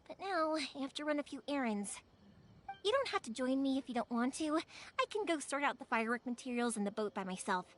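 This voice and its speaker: A woman's voice: sits high, a bit bright. A woman playing a kind-hearted, cheerful young fireworks maker in a video game, speaking earnestly and expressively. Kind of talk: video game character acting. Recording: faint music behind the voice.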